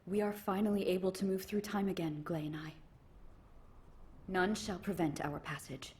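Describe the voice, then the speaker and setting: A woman voicing a proud, solemn warrior from another era in a video game, with formal, measured phrasing. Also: lowish voice, fairly even intonation, darkish tone, lightly acted.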